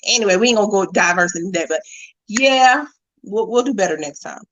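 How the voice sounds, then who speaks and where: A woman's voice: medium pitch, animated, a little fast, fairly bright tone, highs cut off. A woman guesting on a podcast, talking in a blunt, playful, casual way. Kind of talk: casual chat.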